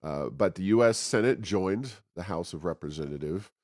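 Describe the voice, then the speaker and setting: A man with a deep voice hosts a policy discussion. He phrases things deliberately and haltingly, pausing often as he works through his points. The sound is dry, with very little room in it. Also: animated, darkish tone, no room sound. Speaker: podcast host. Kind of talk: political policy commentary in conversation.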